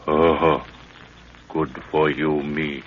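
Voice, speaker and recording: A man's voice: a bass voice, level tone, slow. A man playing a loyal, stoic African guide who speaks broken pidgin English in short phrases, in 1930s radio acting style. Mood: stoic, watchful. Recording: dull, noisy 1930s radio recording.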